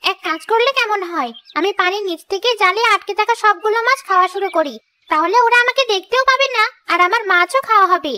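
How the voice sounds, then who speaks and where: Someone voicing a very high-pitched greedy female ghost. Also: lively intonation, fast talker, bright, forceful tone, full character voice. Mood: scheming, gloating. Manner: gloating, menacing, talks to herself. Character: greedy scheming female river ghost, hungry for fish. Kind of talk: animated children's ghost story acting. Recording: music behind the voice.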